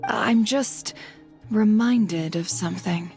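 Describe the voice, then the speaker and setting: A woman playing a poised, worldly woman in a video game cutscene, speaking in a measured, detached and eloquent way. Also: medium pitch, darkish tone, faint music behind. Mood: grave, detached.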